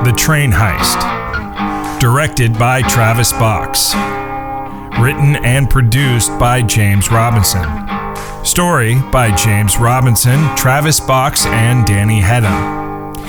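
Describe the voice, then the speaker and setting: A man's voice: medium pitch, takes it slowly. A man reading scripted closing credits as an announcer for an audio drama. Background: loud plucked guitar music.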